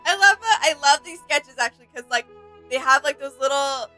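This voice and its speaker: A woman's voice: high-pitched, expressive, a little fast, pushed and bright, soft background music, low-quality recording. A woman co-hosting a casual reaction video, enthusiastic and agreeable as she comments like a fan.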